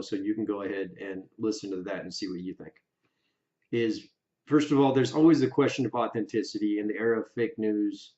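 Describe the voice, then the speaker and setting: A man giving opinion commentary for an online video, speaking emphatically and deliberately in short, separated phrases. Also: fairly low pitch, dark tone. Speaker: YouTube video creator. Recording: roomy echo, slightly dull sound.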